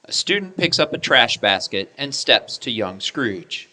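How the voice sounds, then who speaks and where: A man's voice: medium pitch, very animated, a little slow, sharp tone, no room sound. A man reading scripted dramatic narration for a radio play, describing scenes as they unfold.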